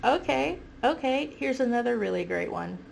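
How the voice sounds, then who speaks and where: Woman, stuffy nose, heavy vocal fry, casual game commentary, thinking out loud. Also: on the high side, moderate pace, slight background noise. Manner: self-correcting, animated, musing aloud. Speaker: video game commentary host.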